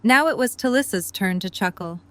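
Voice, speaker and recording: A woman's voice: mid-range voice, expressive, a little fast. A woman reading scripted story narration as the narrator of an audio drama.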